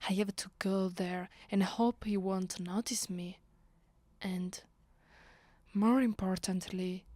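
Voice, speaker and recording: Casting: woman as seductive aristocratic female vampire, Italian accent, unhurried commanding delivery, close dry ASMR sound. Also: mid-range voice, darkish tone, no room sound. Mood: commanding, intimate. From Italy. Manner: imperious, playful, unhurried, breathing into whispers. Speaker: voice actress. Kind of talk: ASMR roleplay.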